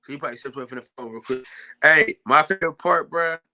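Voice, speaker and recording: A young man hosting a casual live-stream chat, loudly shouting out viewers by name. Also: on the high side, fast. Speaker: podcast host.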